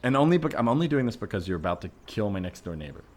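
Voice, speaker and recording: A man improvising a skeptical, uneasy ordinary neighbor in a comedy podcast scene, reasoning patiently in a hesitant, deadpan way. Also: on the low side, very fast. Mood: reluctant.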